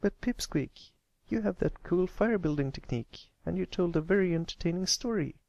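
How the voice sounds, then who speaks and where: A man plays a cheerful little filly pony from a storybook. The voice sits well above a man's usual pitch, friendly and encouraging, recorded dry. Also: lively intonation, moderate pace, vocal fry, a little mellow, no room sound, lo-fi sound.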